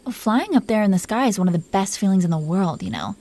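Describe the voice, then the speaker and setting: Casting: woman as dragon queen for intimate audio roleplay. Dark, mellow tone; possessive, teasing, secretly tender, soft-spoken and coaxing. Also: medium pitch, very animated, fast, no echo. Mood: wistful, tender. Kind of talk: intimate roleplay audio drama addressed to the listener.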